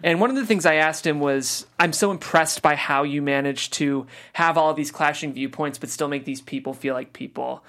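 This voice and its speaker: A woman's voice: sits low, moderate pace, hardly any pauses, a bit bright. A woman hosting a casual panel discussion, chatty and loose with many fillers. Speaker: host.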